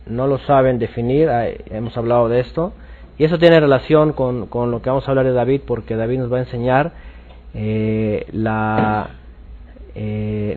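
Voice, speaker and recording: A man teaching scripture informally, explaining haltingly and often repeating himself. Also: mid-range voice, phone-quality sound. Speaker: religious teacher.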